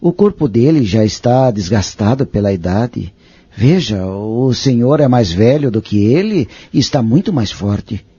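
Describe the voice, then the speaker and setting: An actor playing a sober, courteous grown son with a husky voice in an audio soap opera. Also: male voice, medium pitch, animated, a little slow. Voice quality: husky, dark. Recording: dry, dull lo-fi audio.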